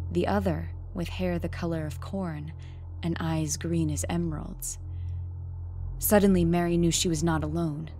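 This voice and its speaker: A woman narrating a scripted horror story in a dramatic, measured way. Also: fairly low pitch, warm tone. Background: music.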